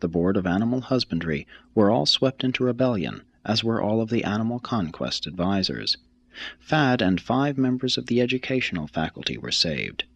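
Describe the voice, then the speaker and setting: A male reader delivers formal religious narration in a measured, solemn way. His voice sits fairly low but moves widely in pitch across each phrase. It has a slightly rough, husky grain. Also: very animated, a bit bright, no echo, muffled recording. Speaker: audiobook reader. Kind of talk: scripted narration of a religious text.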